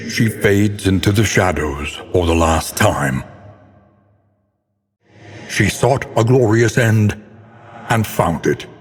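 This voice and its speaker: An actor playing a grave, brooding older scholar who narrates a gothic game in a solemn, portentous, theatrical way. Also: male voice, lowish voice, lively intonation, takes it slowly, long pauses, breathy edge, exaggerated voice. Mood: mournful. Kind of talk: scripted dramatic game narration. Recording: long cavernous reverb on voice.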